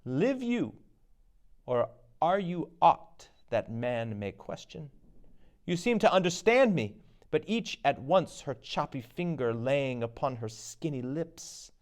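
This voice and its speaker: A man's voice: a little high, big pitch swings, slow pace. A man playing a bold, curious noble warrior in a dramatic Shakespeare reading, declaiming his questions. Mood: amazed.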